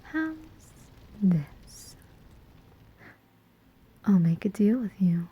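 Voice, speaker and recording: A woman's voice: medium pitch, sing-song, dark tone, full character voice. A woman playing a sly, menacing female demon who collects souls, in a teasing whispered ASMR roleplay. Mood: bargaining, challenging. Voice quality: breathy whisper. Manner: slow, deliberate, teasing.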